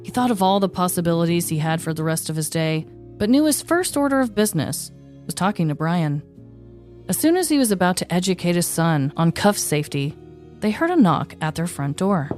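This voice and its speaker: A woman's voice: fairly low pitch, animated, brisk, a little mellow, faint music behind. A woman hosting a podcast, moving between scripted true-story narration and casual chat.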